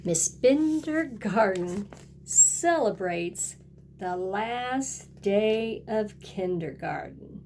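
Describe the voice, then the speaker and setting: A woman's voice: mid-range voice, lively intonation, drawn-out words, dark tone, a little hiss or hum. A woman kindergarten teacher reading a picture book aloud and talking directly to young children in a sing-song way.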